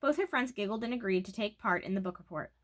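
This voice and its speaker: A woman's voice: mid-range voice, animated, fast talker. A woman reading a children's book aloud as narrator. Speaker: storybook reader.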